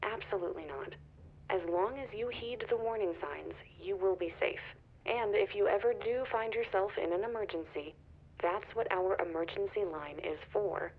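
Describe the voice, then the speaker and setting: A woman's voice: mid-range voice, normal speed, slight background noise. An actress playing a nervous, guarded company spokeswoman in a video game, speaking carefully and politely over a phone line. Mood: polished, defensive. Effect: phone call filter. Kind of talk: naturalistic video game acting. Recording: tinny, narrow phone line.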